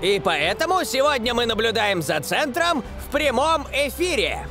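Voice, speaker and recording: An actor dubbing an excitable male sports commentator in an animated football cartoon. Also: extremely high pitch, normal speed. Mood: excited. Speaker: match commentator. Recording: cartoon dub with loud music.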